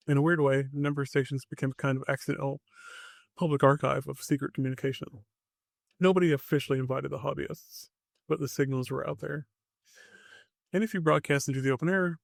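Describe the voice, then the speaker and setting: Gravelly man narrating a mystery podcast. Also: medium pitch, dry recording, studio quality. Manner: wry asides, short dramatic phrases. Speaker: podcast host.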